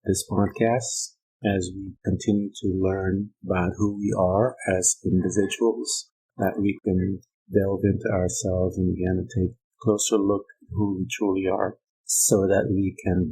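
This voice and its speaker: Middle-aged male podcast host, very low flat delivery, reflective and hesitant. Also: vocal fry, slightly rough, low-quality recording. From Guyana. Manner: slow and halting, many ums and uhs. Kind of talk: reflective personal-development monologue. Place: United States.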